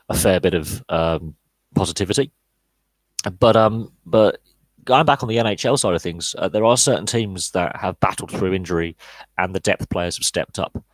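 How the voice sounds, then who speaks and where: Low-voiced man, 30s, rambling podcast host. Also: no room sound, rough recording. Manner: rambling, frequent fillers and restarts. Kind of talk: solo live podcast Q&A, casual analysis.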